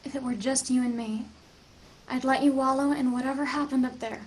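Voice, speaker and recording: A woman acts out an earnest older girl in a science fiction drama, leading stranded kids. Her voice is notably high. She speaks as someone under strain, hesitant at times. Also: steady tone, brisk, close to an everyday voice. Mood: firm, pleading. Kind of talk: table read of a science fiction script.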